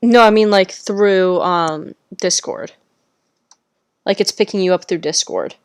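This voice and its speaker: A chatty woman cohosts a podcast over a headset mic from home. Her voice is smooth and clear, and the sound is dry and close. She talks casually and drops the odd curse. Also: mid-range voice, a little slow, no room sound. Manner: chatty, swears casually, explains tech setup. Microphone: headset microphone.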